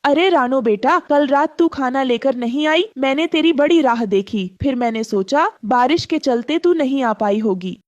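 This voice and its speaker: A weary, kindly pigeon auntie from a cartoon, voiced by a woman, plaintive and resigned. Her voice sits a little high, with a slightly muffled, dry sound. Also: animated, normal speed, few pauses, no room sound. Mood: gentle. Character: poor, weary bedridden aunt pigeon, kindly. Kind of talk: Hindi cartoon story acting.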